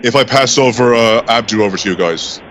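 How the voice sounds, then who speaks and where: A man making a prank call as a translator, speaking in a halting, deadpan way. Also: mid-range voice, a little hiss or hum, rough recording. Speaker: prank caller posing as a translator.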